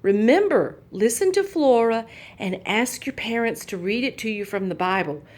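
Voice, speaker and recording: A woman telling a Bible story to young children, pointing out the pictures in a gentle, patient way. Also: on the high side, expressive, moderate pace.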